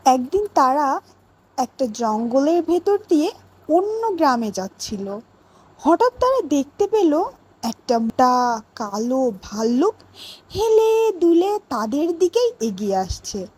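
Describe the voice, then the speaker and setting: A woman storyteller with a high, lively voice that swings in pitch. She takes her time, phrasing each line deliberately like a teacher addressing young pupils. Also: rough recording. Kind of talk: scripted children's story narration and classroom questioning.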